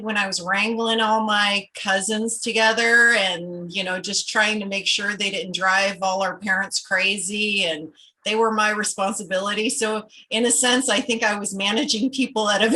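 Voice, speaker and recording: A woman's voice: medium pitch, unhurried, sharp tone, low-quality recording. A woman born in Los Angeles, a guest on a podcast over a video call, talking candidly and explaining things patiently. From United States, born in Los Angeles. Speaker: interview guest, business founder. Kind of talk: casual interview chat.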